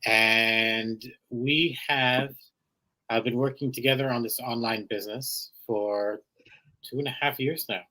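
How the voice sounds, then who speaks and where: A man's voice: mid-range voice, fairly even intonation, pushed and bright, rough recording. Man, forties, guest on a video call, hesitant, fillers. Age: 40s. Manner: hesitant, many filler pauses. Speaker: guest, chef and donut shop owner. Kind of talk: casual interview answers.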